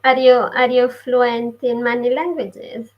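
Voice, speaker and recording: A woman interviewing a guest, asking polite, unhurried questions. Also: a little high, level tone, muffled recording. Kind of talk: casual interview.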